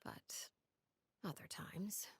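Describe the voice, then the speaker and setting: An actress playing a cold, composed, commanding woman in a video game, speaking softly and breathily in measured, formal, deliberate phrases. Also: in a whisper. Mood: calm, explanatory. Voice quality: soft, breathy low voice. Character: cold, composed, commanding woman, crime-house leader with a dark past. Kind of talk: video game voice acting, dramatic narrative dialogue.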